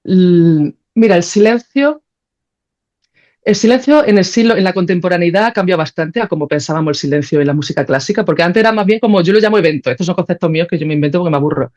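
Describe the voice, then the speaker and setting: A woman's voice: fairly low pitch, fast talker, a little mellow. Spanish-accented woman, dull lo-fi audio. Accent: Spain. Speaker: podcast guest, composer and sound creator. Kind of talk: casual conversational explanation.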